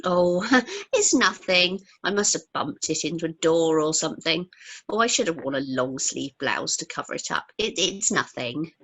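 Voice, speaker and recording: A woman's voice: sits low, expressive, moderate pace, fairly bright tone, heavily acted. A woman playing a young British wife, breezy but nervously defensive, in an amateur radio play. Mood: nervous, evasive.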